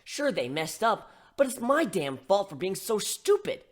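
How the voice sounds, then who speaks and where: A man's voice: sits high, very animated, a little slow, voice pushed up, heavily acted. A man playing a guarded, self-reproaching young man who confesses his faults in a dramatic story reading. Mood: frantic self-reproach. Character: remorseful, emotionally guarded young male protagonist of a story.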